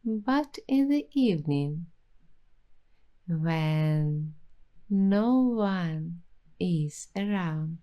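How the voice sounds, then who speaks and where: A woman hosting an ASMR roleplay, speaking softly and reflectively in gentle, affirming words. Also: on the low side, expressive. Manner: soft, slow, reflective and affirming. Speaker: ASMR host.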